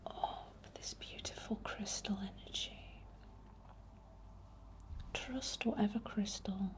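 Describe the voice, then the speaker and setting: Softly whispering woman, mellow ASMR voice. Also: mid-range voice, low-quality recording. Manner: soft, breathy whispering, soothing and unhurried. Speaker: ASMR host leading a healing session. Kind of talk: whispered ASMR guided meditation.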